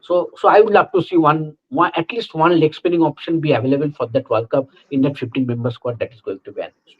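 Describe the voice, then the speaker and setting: A man argues his view as a cricket analyst in a live chat, earnest and repetitive. His voice sits a little high for a man. The stream sound is dull and lo-fi. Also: quick pace. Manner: earnest, builds points with "you know" and "I think".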